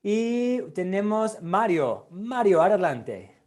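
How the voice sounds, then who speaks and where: A man leads an online Bible class as its pastor and teacher. He greets each student by name with courtesy, at a slow and measured pace. His voice sits a little high. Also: big pitch swings, a little mellow, a little hiss or hum. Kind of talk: hosting an online class roll call.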